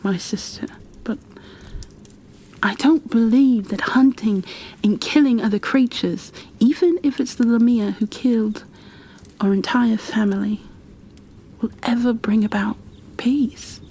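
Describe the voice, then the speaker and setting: A woman playing a gentle, shy, bookish bookkeeper in an ASMR roleplay, soft-spoken and half-whispering, with a hesitant, apologetic way of talking. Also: a little high, a little hiss or hum, lightly acted, poor audio. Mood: wistful, earnest. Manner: hesitant, apologetic, halting, careful. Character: gentle, shy, bookish female creature bookkeeper, peace-loving and kindly.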